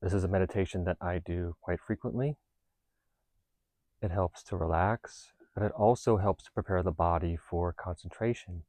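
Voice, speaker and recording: A man leading a guided meditation, giving gentle instructions in a calm, unhurried, reassuring manner. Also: low-pitched, dry recording. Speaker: meditation teacher.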